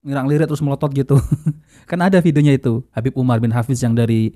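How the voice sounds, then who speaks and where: Indonesian man commenting, mellow and dry. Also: medium pitch, flat delivery, quick pace, no room sound. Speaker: video host reacting to a lecture. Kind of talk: informal religious commentary to viewers.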